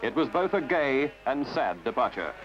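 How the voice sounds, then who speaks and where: A man narrates a scripted newsreel in a dramatic, measured, slightly wry way. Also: medium pitch, sing-song. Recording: dull lo-fi archival film soundtrack with music. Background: prominent music.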